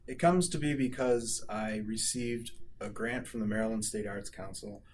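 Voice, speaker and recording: A man's voice: lowish voice, fairly even intonation, moderate pace, a little mellow. A man in an unscripted interview, explaining things as a conversational storyteller. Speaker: interviewed musician, violist. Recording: noticeable room ambience and noise.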